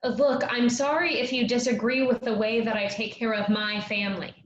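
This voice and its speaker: A woman's voice: on the high side, steady tone, brisk, natural voice. A woman playing a stubborn, harried young woman in a staged play reading, defensive and insistent, in a dull, echoey, noisy recording. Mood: frustrated. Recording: dull, echoey room with clear noise.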